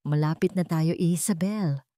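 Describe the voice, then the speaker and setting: A woman narrator voicing an earnest, hopeful estranged husband in a romantic drama, speaking gently. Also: low voice, unhurried, dry recording, studio quality. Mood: tender, hopeful.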